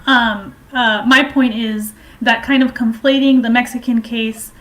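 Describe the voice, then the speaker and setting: A woman giving informal political and historical commentary in a halting, hesitant way, explaining at length. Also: on the high side, fairly even intonation, fairly bright tone, poor audio.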